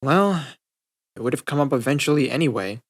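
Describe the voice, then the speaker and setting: A man's voice: medium pitch, expressive, a little fast. A man voicing a guarded, easygoing teenage girl in a casual, understated way. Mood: uneasy. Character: guarded, easygoing teenage girl, friendly but self-conscious.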